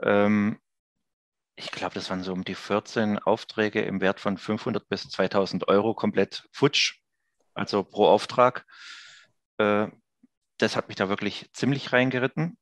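A man's voice: fairly low pitch, fairly even intonation, unhurried, no echo. A man in his thirties chatting casually as an interview guest over a headset. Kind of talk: casual chat. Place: indoor office room, video call.

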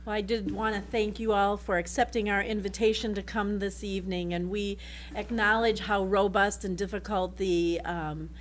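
Middle-aged woman, board president at a desk mic; gracious, measured remarks, faint hum. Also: medium pitch, fairly even intonation. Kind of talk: formal meeting remarks. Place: board meeting room.

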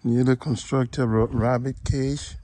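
Slow, halting man touring his farm. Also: mid-range voice, fairly even intonation, warm tone, slight background noise. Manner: halting, many fillers, pleading for subscribers. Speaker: vlogger giving a farm tour. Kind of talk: casual walkthrough narration. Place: walled farm plot, outdoors.

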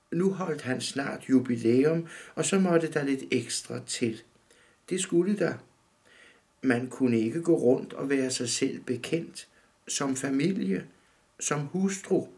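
A man in his fifties calmly reading his own short story aloud in a dim room, measured and steady. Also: mid-range voice, vocal fry. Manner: calm, measured reading, often looking down at the text. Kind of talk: literary reading.

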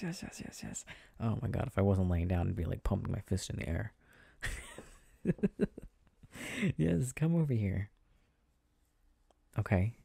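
A man playing a gentle, affectionate boyfriend in a soft, intimate ASMR roleplay, speaking haltingly and reassuringly. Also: fairly low pitch, vocal fry, breathy edge, dry recording, studio quality, heavily acted. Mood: eager, teasing.

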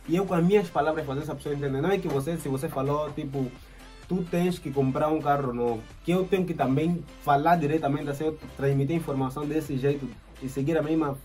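Man, 20s, Angolan Portuguese accent, laid-back storytelling, slangy, faint music behind. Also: a little high. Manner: relaxed, fills with "yeah" and "tipo", slang. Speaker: channel host. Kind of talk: casual storytelling chat. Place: indoor room, flag backdrop.

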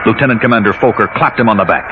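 A man's voice: fairly low pitch, very fast, background noise. A man reading a dramatic scripted children's adventure story as narrator. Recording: dull cassette under loud music.

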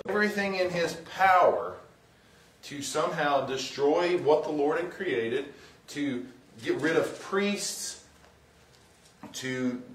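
A middle-aged man giving an informal, somewhat halting sermon as a guest preacher, mixing plain talk with scripture reading. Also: mid-range voice. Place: church sanctuary, indoors. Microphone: far camera. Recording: roomy, distant from the microphone.

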